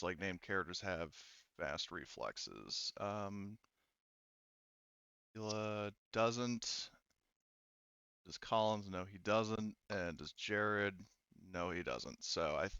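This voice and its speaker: A man acting as game master of a casual tabletop roleplaying game, haltingly thinking aloud as he goes. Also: on the low side, creaky, bright, forceful tone, clean tone, dry recording, highs cut off.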